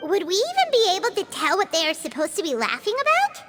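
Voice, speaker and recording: Squeaky tiny fairy companion. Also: female voice, huge pitch swings, fast, over loud music, heavily acted. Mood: puzzled, doubtful. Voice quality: squeaky, childlike. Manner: refers to self in third person, exclamatory. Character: tiny chirpy childlike fairy companion, excitable and candid. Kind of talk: video game voice acting.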